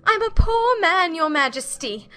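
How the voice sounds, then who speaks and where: A man's voice: very high voice, big pitch swings, measured pace, sharp tone, faint music behind, voice pushed up, full character voice. A man voicing a nervous, anxious hatter pleading before a king. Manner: jittery, pleading.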